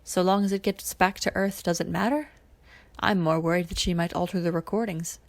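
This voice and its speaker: Woman reading a calm, creaky male space pilot. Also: lowish voice, close to an everyday voice. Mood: quiet, wary. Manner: quiet, measured.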